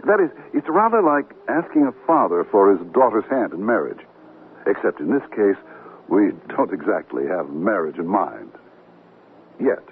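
Polite, hesitant radio-comedy gentleman, tinny. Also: lowish voice, very animated, moderate pace. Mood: affable. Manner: courteous, hesitant, stammering politely. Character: polite, chatty gentleman named Tom, fond of 'old boy'. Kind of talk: 1970s radio comedy acting. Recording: tinny, noisy 1979 radio broadcast.

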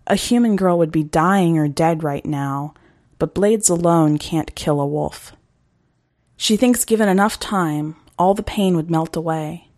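A woman narrates a short story in a close, dry recording. Her delivery is slow and measured, with a dramatic touch suited to scripted fiction. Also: fairly low pitch, a little mellow, no room sound. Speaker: podcast host reading a short story.

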